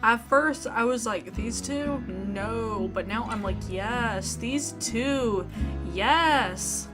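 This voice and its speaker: A woman with a fairly high voice hosts a visual novel playthrough. She reads the story narration aloud and comments casually, with clear music playing behind her. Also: extremely slow. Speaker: video game streamer.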